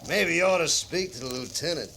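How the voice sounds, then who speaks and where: An actor playing a callous, sardonic, folksy frontier cavalry trooper in a 1950s western radio drama. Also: male voice, fairly high pitch, a little fast, creaky. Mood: sly mockery. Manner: folksy, sly frontier drawl talk. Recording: noisy, crackly 1950s radio recording.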